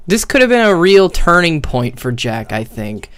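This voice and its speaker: A young man streaming casual, rambling commentary on a video game, hesitating as he thinks out loud. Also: a little high, sing-song, normal speed, clean tone. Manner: casual swearing.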